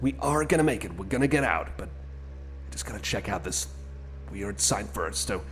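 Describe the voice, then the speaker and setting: A man's voice: lowish voice, lively intonation, normal speed, fairly bright tone, faint music behind, low-quality recording. A man playing a friendly, anxious cyborg companion in an ASMR roleplay, rambling hesitantly and repeating himself as he chats to the listener. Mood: eager, reassuring.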